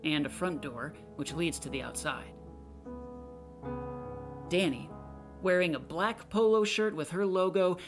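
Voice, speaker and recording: Low-voiced woman narrating over music. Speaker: narrator reading stage directions. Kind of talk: scripted narration.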